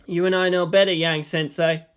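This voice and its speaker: A man's voice: sits high, level tone, moderate pace, clear, resonant tone, lightly acted. A man voicing an exasperated, weary and sarcastic teenage boy who groans and complains in an audiobook story. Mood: weary, questioning. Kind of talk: dramatized audiobook dialogue.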